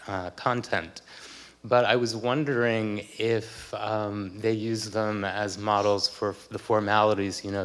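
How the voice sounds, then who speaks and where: A man in the audience asking a halting question at a public book event. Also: on the low side, clean tone. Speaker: audience questioner, translator of letters.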